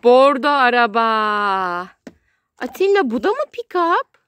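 High-voiced woman in playful child-directed narration. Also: sing-song, takes it slowly, fairly bright tone, clean tone. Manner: sing-song, exclaiming, simple short phrases. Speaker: children's video host playing with a child. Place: outdoors on grass in a garden.

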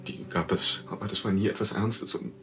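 An actor playing a subdued, bereaved boyfriend being questioned in a TV crime drama, speaking plainly and haltingly. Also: male voice, on the low side, steady tone, a bit bright, soft background music, lightly acted. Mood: grieving.

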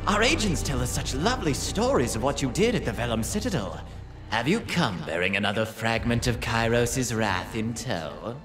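An actor playing a mocking, villainous spymaster in a fantasy game, with a theatrical, sardonic delivery that draws words out. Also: male voice, mid-range voice, big pitch swings, fairly bright tone, soft background music. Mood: gleeful mockery. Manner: theatrical, drawn-out, sardonic, swooping. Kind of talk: dramatic fantasy game voice acting. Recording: long hall-like reverb.

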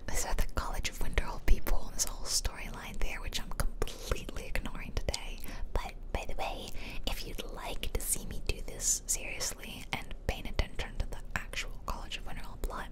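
A woman speaks entirely in a whisper, as in an ASMR video. She narrates her gameplay casually, chatting and rambling along like a streamer talking to viewers. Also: low-quality recording.

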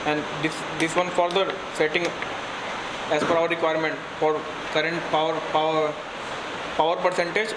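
Man demonstrating a machine. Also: a little high. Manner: plain, halting explanation with fillers and restarts. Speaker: technical engineer demonstrating a machine. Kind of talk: product demonstration. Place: workshop with machine on a green bench. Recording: noisy workshop room audio.

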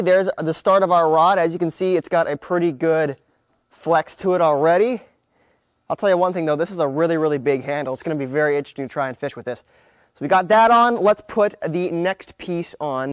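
A man's voice: on the high side, brisk, no room sound, studio quality. A young American man presenting a casual vlog to camera, talking emphatically as he demonstrates a build. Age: young adult. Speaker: video creator, presenter. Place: outdoors by a wooden barn and gravel drive.

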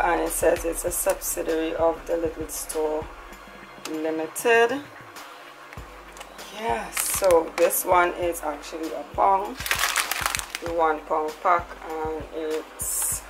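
A woman's voice: low-pitched, gravelly, low-quality recording. A woman talking casually through a grocery haul, chatty, often ending lines with a questioning "right?". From Trinidad. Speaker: lifestyle vlogger.

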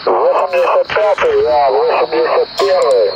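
A man's voice: fairly high pitch, huge pitch swings, a little slow. A man at a command post on marine radio, answering in formal radio protocol and repeating his acknowledgements. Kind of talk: military radio exchange. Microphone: marine VHF radio. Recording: muffled noisy radio intercept.

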